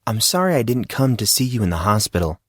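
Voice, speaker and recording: A man voicing a polite, knowing teenage schoolboy in audiobook dialogue, calm and measured. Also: on the low side, no echo, studio quality. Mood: apologetic, familiar.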